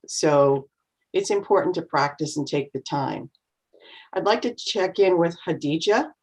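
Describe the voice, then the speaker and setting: Woman with a low voice coaching english learners on a video call, encouraging. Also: animated, unhurried, darkish tone, husky. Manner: encouraging, explanatory, acts out nervous voices. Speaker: co-host and speaking coach. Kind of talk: online English class coaching.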